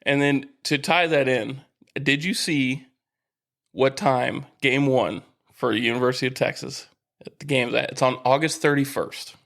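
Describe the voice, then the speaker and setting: Guy co-hosting a sports podcast, medium pitch, easygoing storytelling, super casual. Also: steady tone, measured pace, creaky, fairly bright tone.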